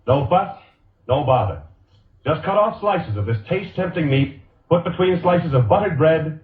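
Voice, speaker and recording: A man's voice: on the high side, recorded from a distance. An American man reading 1940s radio advertising copy with upbeat, emphatic salesmanship. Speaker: radio commercial announcer. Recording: boxy vintage radio recording, echoey, narrow band.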